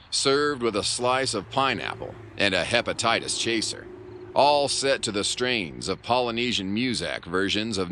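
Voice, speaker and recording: Man doing a low, melodramatic pulp narrator. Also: sharp tone, heavily acted. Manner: melodramatic, florid. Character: pulpy, wry, overwrought noir narrator. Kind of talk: scripted comic pulp narration. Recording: clear car and road noise.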